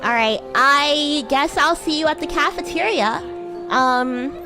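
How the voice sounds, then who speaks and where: A woman's voice: high-pitched, measured pace, music in the background. A woman streamer improvising a roleplay as a bratty demon schoolgirl classmate, with a playful, teasing, giggly manner. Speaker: VTuber streamer. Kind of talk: improvised roleplay livestream chat.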